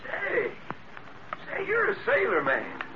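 Loud rambling drunk, high and swooping. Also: male voice, huge pitch swings, takes it slowly, full character voice. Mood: tipsy, chummy. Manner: rambling, repeats himself, over-friendly. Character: loud jovial drunk man carousing in a bar. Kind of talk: 1940s radio acting. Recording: noisy muffled vintage radio recording.

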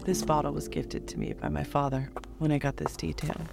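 An actor playing a knowing, probing starship officer in an audio drama. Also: male voice, a little high, huge pitch swings, brisk, creaky, music in the background, full character voice. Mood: measured, knowing.

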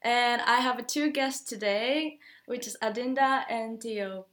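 A woman's voice: on the high side, slow, fairly bright tone. Young Japanese-born woman hosting a podcast. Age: young adult. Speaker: podcast host. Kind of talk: casual student podcast chat.

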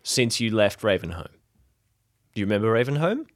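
Casting: man as placating, uneasy nephew for audio drama; hesitant, very animated intonation, close dry sound. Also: fairly low pitch, fairly quick, no echo. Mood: alarmed, questioning. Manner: questioning, coaxing, hesitant. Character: tall nephew, anxious, placating, trying to seem non-threatening.

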